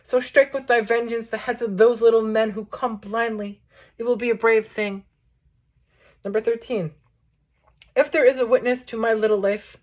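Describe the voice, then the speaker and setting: A woman reading poetry aloud, delivering it as a measured, declamatory recital in short phrases. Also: fairly high pitch. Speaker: poetry reader introducing a book.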